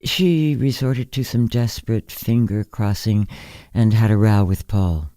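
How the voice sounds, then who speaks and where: A man narrates a literary story in a slow, measured way. His voice is very soft-edged and dark, fairly low, with a reflective feel. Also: dry recording, clean studio sound. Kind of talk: literary audiobook narration of fiction.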